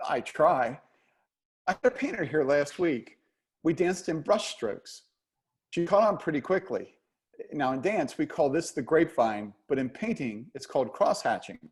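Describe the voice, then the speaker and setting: Man as an encouraging older dance teacher, higher voice. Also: animated, normal speed, natural voice. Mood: encouraging, instructive. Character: 61-year-old male dance instructor, patient, encouraging, gently teasing. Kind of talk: play reading over video call.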